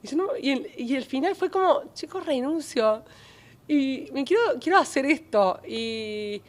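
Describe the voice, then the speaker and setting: Animated Cordoban Argentine woman storyteller. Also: sits high, measured pace. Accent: Cordoban Argentine Spanish. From Córdoba, Argentina. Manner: animated storytelling with many fillers and restarts. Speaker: journalist and travel creator guest. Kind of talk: podcast interview.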